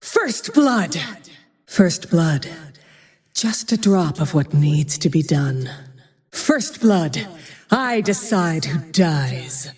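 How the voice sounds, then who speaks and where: Grim female avenger archer for a fantasy game: slow, dark-toned, merciless and composed, in long reverb. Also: lowish voice, big pitch swings, faint music behind, full character voice. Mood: cold triumph. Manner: cold, brooding, measured, often dropping to a breathy whisper. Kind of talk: dramatic video game voice lines.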